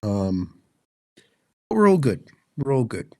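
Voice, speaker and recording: A man's voice: mid-range voice, sing-song, slow, warm tone, no room sound, clean studio sound. A man in his twenties with an Australian accent, co-hosting a podcast, sounding congested and fluey, rambling with many fillers. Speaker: podcast co-host. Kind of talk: casual chat.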